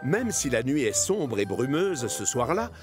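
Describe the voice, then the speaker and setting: A man narrating a scripted children's cartoon in an expressive storytelling way. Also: mid-range voice, unhurried, music in the background.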